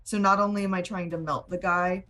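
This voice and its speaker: Female player, slightly low voice, informal and plain-spoken, casual game chat over faint music. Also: steady tone, a little fast, fairly bright tone. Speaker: player in a tabletop roleplaying game. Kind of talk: casual game chat, describing her moves.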